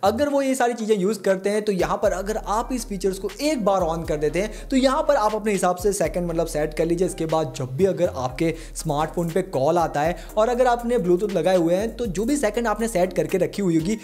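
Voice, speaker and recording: A man's voice: sits high, lively intonation, a little fast, few pauses, a little mellow. A man hosting a smartphone tips tutorial in an informal, chatty way, talking to viewers like buddies. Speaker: tech tutorial host.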